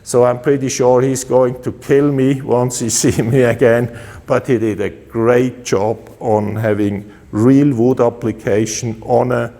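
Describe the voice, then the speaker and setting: A man with a Swiss German accent talks slowly through a casual walkaround of his custom motorcycles. He is relaxed and halting, with many filler sounds, at a mid pitch. The voice carries a long reverb, as in a large hall.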